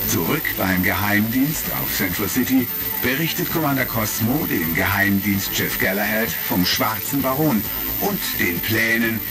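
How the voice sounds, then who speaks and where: A low male voice narrates an old-style sci-fi radio play. His scripted delivery moves animatedly in pitch, over clearly audible music. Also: lively intonation, measured pace.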